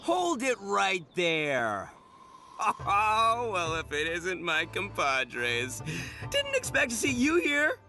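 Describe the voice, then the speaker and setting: A man's voice: high voice, wildly swooping pitch, slow, creaky, bright, forceful tone, faint music behind, full character voice. A man voicing a brash, boastful young oni gang boss with a swaggering, slangy delivery in an anime game dub. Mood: cocky.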